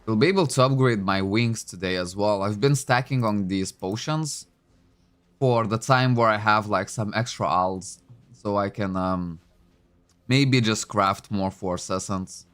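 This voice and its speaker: A man whose first language is Lithuanian talks in English in a slightly low voice, rambling along with plenty of ums and uhs. He sounds relaxed and conversational, speaking close to a desk microphone in a room at home. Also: a little slow, faint music behind. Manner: chatty, thinking aloud with many fillers. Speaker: game streamer. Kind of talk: casual livestream commentary.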